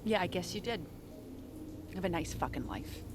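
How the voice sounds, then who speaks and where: A woman's voice: fairly low pitch, sing-song, moderate pace, heavy background noise. A woman acting a weary, proud and heartbroken police detective in an audio drama. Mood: hurt, bitter. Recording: loud dramatic music behind the voice.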